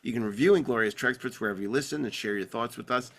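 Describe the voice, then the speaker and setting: A man's voice: mid-range voice, quick pace, gravelly, a bit bright. A man hosting a podcast in a joking, digressive, casual style, bantering with co-hosts and reading out show notes. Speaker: podcast host.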